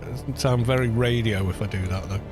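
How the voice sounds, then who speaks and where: Male streamer, baritone, laid-back running talk over game music, muttering asides. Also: a little fast. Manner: relaxed, muttering to himself between remarks. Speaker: gaming streamer. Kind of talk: casual live-stream commentary while playing. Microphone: studio microphone with low cut. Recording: game music playing behind the voice.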